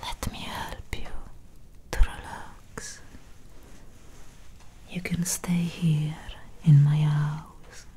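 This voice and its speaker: A young woman acts out a gentle Victorian vampire doctor in an ASMR roleplay. She whispers very breathily and extremely slowly, with long soft pauses. The tone is soothing and hypnotic, dark and relaxed. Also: sits low, husky, exaggerated voice. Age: young adult. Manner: slow, soothing, lingering pauses. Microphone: close ASMR microphone.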